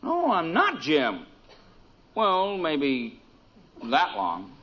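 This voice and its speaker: An actor playing an excitable, boastful middle-aged American husband in an old radio sitcom. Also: male voice, a little high, extremely slow, heavily acted. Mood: excited. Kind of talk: 1940s-50s radio sitcom acting. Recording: muffled, faintly noisy vintage radio recording.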